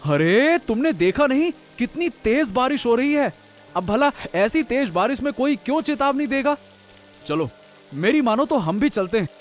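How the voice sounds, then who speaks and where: A man voicing village farmer brothers in a cartoon story, exclamatory and expressive. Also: very high voice, normal speed. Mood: alarmed. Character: two farmer brothers, one anxious, one carefree. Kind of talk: animated Hindi cartoon dialogue.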